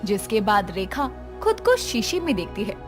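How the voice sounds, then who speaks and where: A woman's voice: mid-range voice, sing-song, normal speed, music in the background. A woman narrating a scripted moral story as its storyteller.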